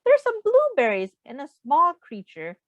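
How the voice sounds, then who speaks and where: A woman's voice: high-pitched, wildly swooping pitch, unhurried, dry recording, narrow, tinny audio. A woman reading a picture book aloud to young children, patiently explaining and asking simple questions like a teacher.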